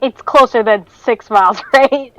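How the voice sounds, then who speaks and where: A woman's voice: a little high, measured pace, fairly bright tone, no room sound, lo-fi sound. A woman playing a tabletop role-playing game, casually describing what her character does.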